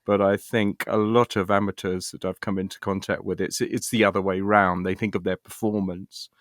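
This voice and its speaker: Middle-aged British male interviewee, lowish voice, thoughtful and halting delivery, recorded dry. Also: little pitch movement, no echo. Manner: hesitant, thoughtful, with repeated false starts. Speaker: interview guest, actor and writer. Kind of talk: reflective conversational interview.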